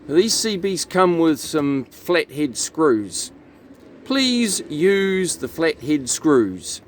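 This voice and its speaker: A man giving a matter-of-fact, slightly grumbling hands-on commentary as a technician at work in a truck. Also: mid-range voice. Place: inside a truck cab and on its roof. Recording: steady background noise, vehicle ambience.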